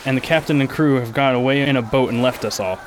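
A man playing a reserved, solitary outcast gentleman in a radio drama, terse, grave and courteous. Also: mid-range voice, fast talker, noisy background, close to an everyday voice. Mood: grave, firm.

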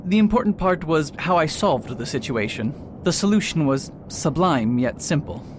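A man acts out an aging, self-important detective for a radio play. His voice sits fairly high and he speaks haltingly and grandly, with a faint rumble behind him. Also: animated, lightly acted. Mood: boastful. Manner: hesitant, pompous. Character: proud, aloof old retired detective doctor. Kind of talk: amateur radio play acting. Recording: faint rumbling background noise.

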